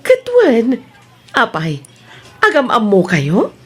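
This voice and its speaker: A woman's voice: on the high side, wildly swooping pitch, slow, breathy edge, full character voice. A woman playing a teasing, gossipy aunt in an Ilocano audio drama. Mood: teasing, amused. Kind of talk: Ilocano radio-style drama acting.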